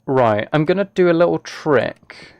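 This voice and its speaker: A man's voice: fairly high pitch, expressive, measured pace, clean tone. A man chatting casually on a live game stream, thinking aloud as he plays and talking to his viewers.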